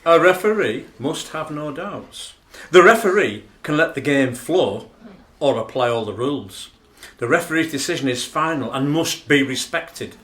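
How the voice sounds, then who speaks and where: An actor playing a formal, indignant dinner speechmaker in a radio play, declamatory and emphatic. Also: male voice, on the high side, lively intonation, moderate pace, fairly bright tone. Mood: firm, insistent. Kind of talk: theatrical radio play monologue. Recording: roomy, echoing sound.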